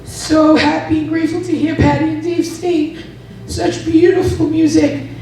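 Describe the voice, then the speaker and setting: A hoarse woman giving an earnest, grateful spoken prayer during a church service. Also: sits high, steady tone, normal speed, mellow, a little hiss or hum. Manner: earnest, effusive gratitude. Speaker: church member leading a prayer. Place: church sanctuary. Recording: echoey room, distant mic.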